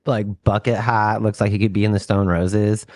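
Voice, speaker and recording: Laid-back low-voiced musician man. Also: flat delivery, moderate pace, a little mellow, no echo, clean studio sound. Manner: loose, profane, rambling with lots of "like". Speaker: touring musician guest. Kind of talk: casual storytelling chat.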